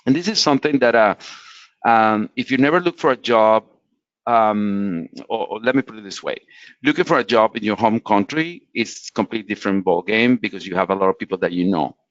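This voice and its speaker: A man's voice: lowish voice, expressive, brisk, no room sound. Man from latin america, english speaker, earnest advice, dry muffled webinar sound. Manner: earnest, explanatory, frequent restarts. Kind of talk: career advice talk.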